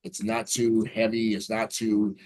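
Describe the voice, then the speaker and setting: 40s guy from new jersey, lowish voice, upbeat and fluent, telling his story on a video call. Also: level tone, measured pace, a little mellow. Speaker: radio news anchor and reporter.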